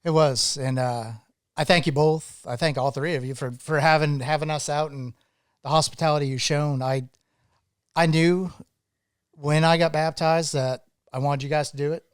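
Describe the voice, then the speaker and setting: A man from Maine, a guest on a podcast, telling stories about his life in a relaxed, thoughtful way. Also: a little high, moderate pace, clear, resonant tone, dry recording, studio quality. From Maine, USA. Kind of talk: casual interview chat.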